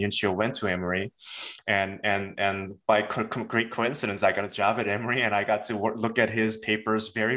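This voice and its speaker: A man's voice: lowish voice, fairly bright tone. A man from South Korea, talking as an author in an academic interview, thoughtful with many fillers and restarts. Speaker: author, guest.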